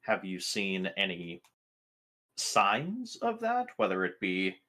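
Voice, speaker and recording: Male tabletop player, deep register, slow halting pace, deliberate in-character questioning. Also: huge pitch swings, bright, forceful tone.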